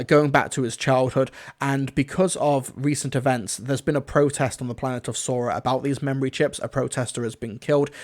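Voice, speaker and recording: A man's voice: mid-range voice, a little mellow. A man giving an unscripted livestream review, talking in an enthusiastic, rambling way.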